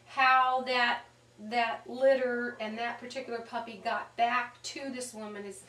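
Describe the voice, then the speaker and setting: A woman talking conversationally as an interviewed animal communicator, telling stories in an animated way and quoting the dialogue as she goes. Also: on the high side, unhurried. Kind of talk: conversational storytelling and explaining. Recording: echoey room sound.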